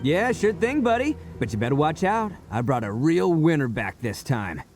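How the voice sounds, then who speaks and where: Brash boastful oni brawler. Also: male voice, a little high, normal speed. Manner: boisterous, laughing, animated. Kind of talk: video game voice acting. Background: game music.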